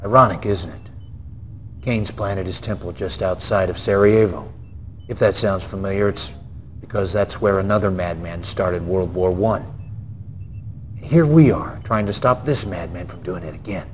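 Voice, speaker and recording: An actor playing a stern, earnest military general, giving a grave, emphatic mission briefing in a video game. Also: male voice, low voice, moderate pace, a little hiss or hum, lightly acted. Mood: grim, fierce. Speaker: military commanding officer.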